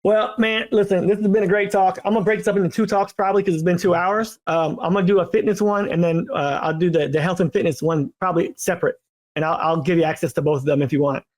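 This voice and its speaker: High-voiced, rambling podcast host. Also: male voice, fast talker. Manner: animated, rambling, repeats words. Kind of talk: casual chat.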